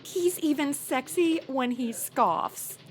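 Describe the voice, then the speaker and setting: A woman's voice: high-pitched, slow, slight background noise. A woman playing a brash, irreverent, flirty modern sidekick in a comedy audio drama, flippant and full of wisecracks. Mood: flirty, smitten. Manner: flippant, sassy, deadpan wisecracks.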